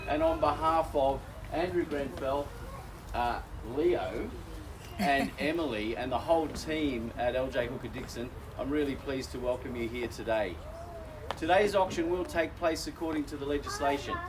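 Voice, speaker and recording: Aussie auctioneer bloke talking to the crowd outside, bit of a weak chesty voice, mic a ways off, faint noise behind. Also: male voice, mid-range voice, normal speed, far from the mic. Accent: Australian. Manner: genial, folksy, addresses crowd as folks. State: voice weakened by a chest infection. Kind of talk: live outdoor auction address. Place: outdoors at a house auction.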